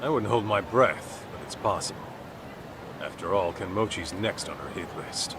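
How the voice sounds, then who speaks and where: An actor playing a brash middle-aged detective in a video game dub, with an American accent and blunt, slangy delivery. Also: male voice, low-pitched, moderate pace, heavy background noise, lightly acted. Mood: casual, alert. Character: brash, street-tough middle-aged male detective, gruffly fatherly. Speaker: private detective.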